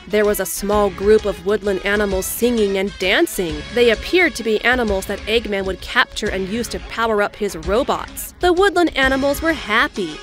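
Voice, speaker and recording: A woman's voice: medium pitch, a bit bright. A woman reading scripted storybook narration as the narrator of an audio drama. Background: music.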